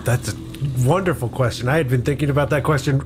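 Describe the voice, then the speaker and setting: Thoughtful man with a mid-pitched voice. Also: quick pace, music in the background. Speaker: guest player on a tabletop podcast. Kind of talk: improvised, reflective tabletop storytelling.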